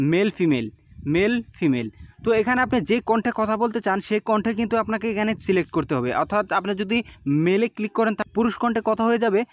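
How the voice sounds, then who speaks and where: Very fast, high-pitched man. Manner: instructional, repetitive step-by-step explaining. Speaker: tech tutorial host. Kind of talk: scripted-sounding app tutorial narration.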